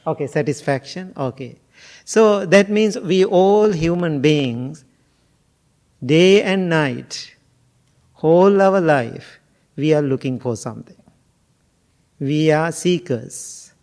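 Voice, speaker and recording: Monk from sri lanka, talks slow and easy, kinda high voice, jokes with the crowd. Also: male voice, lively intonation, darkish tone. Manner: slow, musing, jokes and asks the audience questions. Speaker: Buddhist monk teacher. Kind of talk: informal dharma talk to a group.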